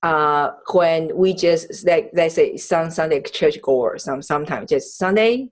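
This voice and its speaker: A woman from Taiwan hosting a podcast in a conversational, personal way, halting as she searches for words in non-native English. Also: low-pitched. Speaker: podcast host. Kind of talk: conversational interview, personal storytelling.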